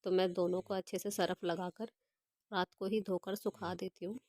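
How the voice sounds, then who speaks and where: A young woman with a hoarse voice from a cold, chatting casually as she walks through her evening kitchen routine step by step. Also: on the low side, steady tone, normal speed, dark tone, no room sound. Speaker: home vlogger. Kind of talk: casual voice-over narration of home chores.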